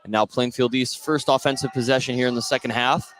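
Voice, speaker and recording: A man's voice: mid-range voice, steady tone, quick pace, a bit bright. A man doing live play-by-play commentary of a basketball game. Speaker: play-by-play announcer. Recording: live gym noise behind the voice. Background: gym ambience of a basketball game.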